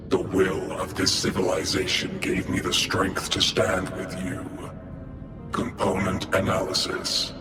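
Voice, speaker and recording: An actor playing a stoic war machine in a video game, speaking in clipped, formal, monotone reports with robotic processing. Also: male voice, low-pitched. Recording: long hall reverb under loud music.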